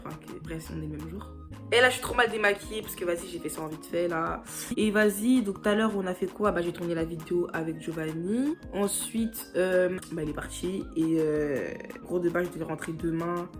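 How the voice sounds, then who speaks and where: A woman's voice: fairly low pitch, fairly bright tone. A young woman from France talks into her phone camera in loose, rambling vlog chatter, filled with giggles and little fillers. She sounds a bit stuffed up from a cold. Light music runs underneath. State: has a cold. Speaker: vlogger. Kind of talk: casual vlog talk.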